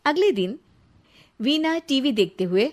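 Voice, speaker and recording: A woman reading scripted narration for a cartoon moral story in an even storytelling manner. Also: sits high, measured pace.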